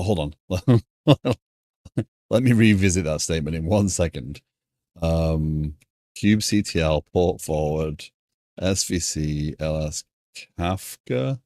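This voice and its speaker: A man with a British accent softened by years in America, chatting casually on a coding live stream and thinking aloud in a stop-start way. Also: low voice, no echo, studio quality.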